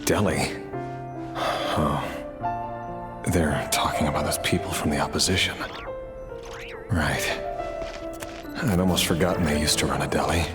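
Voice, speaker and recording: Deadpan assassin from a game, low-pitched, detached, cold, loud music. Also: male voice, a little slow, long pauses. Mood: detached, musing. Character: cold, detached male secret-agent assassin. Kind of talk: scripted video game voice acting.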